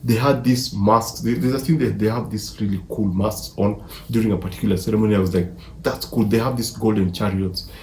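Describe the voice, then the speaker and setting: A man's voice: on the low side, steady tone, brisk, warm tone. Man reviewing films, echoey room. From Africa. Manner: enthusiastic, emphatic, repeats words mid-sentence. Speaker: film reviewer. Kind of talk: casual movie review. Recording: echoey room, faint background noise.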